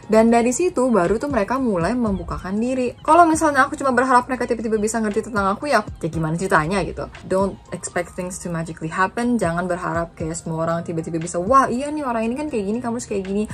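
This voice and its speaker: A young woman from Indonesia talking casually in a reflective vlog, chatty and dropping in English phrases. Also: medium pitch. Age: young adult. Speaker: student vlogger.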